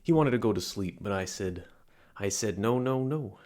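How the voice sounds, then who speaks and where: Man playing a flirty, low-voiced fortune teller. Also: lively intonation, normal speed, lightly acted. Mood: playful, welcoming. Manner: flirtatious, theatrical, wheedling, swinging into soft murmurs. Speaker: palm reader character in an audio roleplay. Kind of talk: scripted intimate roleplay addressed to the listener.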